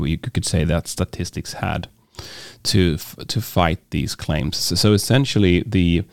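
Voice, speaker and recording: A man's voice: sits low, creaky, a little mellow, dry recording, studio quality. A man with a Swedish accent, hosting a podcast and talking casually about books, hesitant with many fillers and restarts. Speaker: podcast host. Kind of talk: casual book talk.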